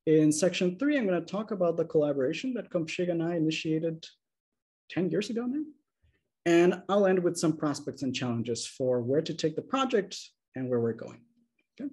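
Male lecturer, 30s, webcam talk, slightly higher pitch, calm explanatory pacing. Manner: measured, explanatory, checks in with "okay?". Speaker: linguistics lecturer. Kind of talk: academic slide presentation. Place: small room indoors. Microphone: video call webcam.

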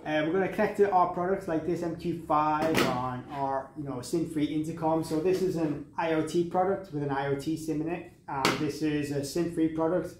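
A man's voice: fairly high pitch, normal speed, few pauses, background noise. A man in his thirties giving an informal tech product walkthrough in a matter-of-fact, explanatory way. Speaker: product demonstrator.

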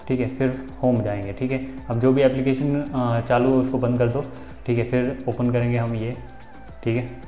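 A man's voice: mid-range voice, dark tone. A man in his twenties with an Indian accent gives a casual, informal step-by-step phone tutorial as host, often checking that the listener follows. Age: 20s. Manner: informal, instructional, frequent check-in tags. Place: small indoor room. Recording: roomy echo, dull sound, faint music.

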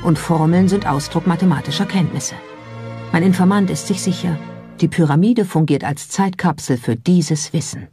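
A narrator reading a scripted documentary in a measured storytelling style. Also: mid-range voice, mellow, soft background music.

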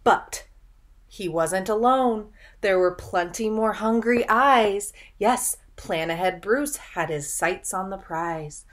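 A young adult woman reading a children's picture book aloud in an expressive, teacherly way, pausing to ask simple questions. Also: mid-range voice. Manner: animated storytelling, deliberate enunciation, audible gasps. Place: small furnished room at home, indoors.

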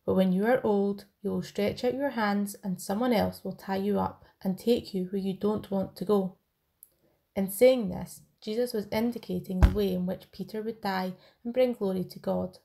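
Mellow, creaky-voiced woman reading scripture. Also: mid-range voice. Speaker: scripture reader. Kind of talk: reading a Bible passage aloud.

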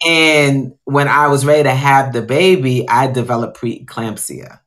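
A woman's voice: very deep voice, a bit bright. Guest: woman chef, late 40s, from Guyana, candid storyteller on a video call at home. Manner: candid, storytelling, drawn-out emphasis. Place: home room.

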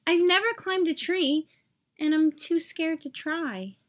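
A high-pitched, sad little stick from a children's storybook, acted out by a woman. He sounds plaintive and self-pitying, full of doubt about himself. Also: a little slow. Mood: scared. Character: sad, self-doubting male popsicle stick, small and whiny.

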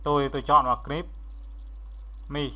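A man narrating a step-by-step software tutorial as an instructor, in a halting, instructional way, repeating steps as he goes. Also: fairly high pitch, flat delivery.

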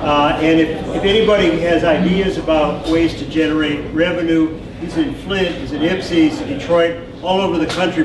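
Male host, 60s, slightly high-pitched, very reverberant room with chatter. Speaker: event host and moderator. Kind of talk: informal event moderating. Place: busy restaurant event room, indoors. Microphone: handheld mic, picked up by distant camera. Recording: echoey, distant, busy room chatter.

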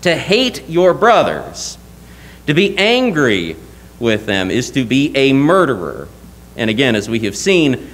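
Animated young man preaching from a pulpit. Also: medium pitch. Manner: earnest, emphatic, rhetorical questions. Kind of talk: sermon. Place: church sanctuary at a pulpit. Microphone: lectern mic, wide camera.

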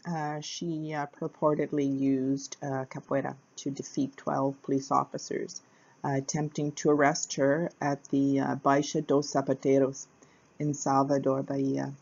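Low-voiced woman, halting fillers. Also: flat delivery, creaky, darkish tone, muffled recording. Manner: hesitant, many uh fillers, plain. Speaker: capoeira teacher. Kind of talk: casual educational narration.

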